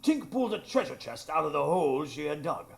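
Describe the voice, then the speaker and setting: A man playing an exasperated gentleman narrator in a radio drama, with dry, formal storytelling. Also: a little high, very animated, brisk, creaky. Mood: solemn narration.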